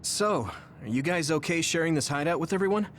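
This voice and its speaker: An earnest, expressive young man from an anime game. His voice sits slightly high and moves around a lot. He sounds like a sincere, concerned recruit. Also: brisk, slight background noise. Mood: friendly, concerned. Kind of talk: English anime game voice acting.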